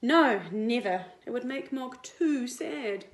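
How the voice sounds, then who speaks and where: A woman voicing a brisk, practical mother in a picture book. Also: on the high side. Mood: resigned, kind. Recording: roomy indoor echo.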